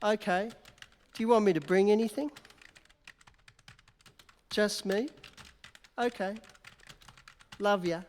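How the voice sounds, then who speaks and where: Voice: mid-range voice, takes it slowly, long pauses, a little mellow, a little hiss or hum, natural voice. A performer playing a sentimental young reporter. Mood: affectionate. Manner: breezy, teasing, fond on the phone. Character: eager young male newspaper reporter, sentimental and joking. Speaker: amateur radio play actor. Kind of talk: live staged radio play reading. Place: concert hall stage. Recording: long reverb of a concert hall.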